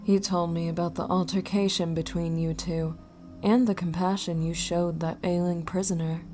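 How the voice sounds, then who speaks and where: A woman's voice: lowish voice, flat delivery, mellow, faint music behind, natural voice. A woman playing a cold, menacing mercenary boss in an audio drama, condescending and mockingly polite. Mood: mocking, smooth. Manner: deliberate, condescending, breathy at times.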